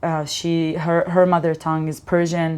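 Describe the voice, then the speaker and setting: Low-voiced woman telling stories. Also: fairly quick, clean tone. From Israel. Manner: animated storyteller, acts out quotes. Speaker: guest comedian. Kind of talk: casual interview storytelling.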